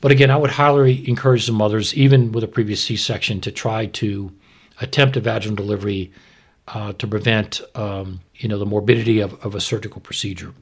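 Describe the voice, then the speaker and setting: Husky low-voiced man explaining medicine. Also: steady tone, a little fast. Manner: informal, plain-spoken, instructive. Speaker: obstetrician, expert guest.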